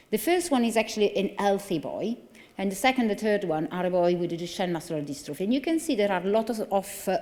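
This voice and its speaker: A woman's voice: medium pitch, warm tone. Italian-accented woman lecturing in a hall. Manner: earnest, explanatory, with frequent fillers and restarts. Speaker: consultant neurologist. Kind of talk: conference lecture. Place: large conference hall. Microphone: lectern microphone. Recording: long reverb of a large hall.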